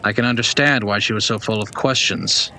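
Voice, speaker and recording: A man's voice: fairly low pitch, a little fast, sharp tone. A man playing a curious, earnest listener to legends in a fantasy audio drama, speaking inquisitively. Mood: curious, thoughtful.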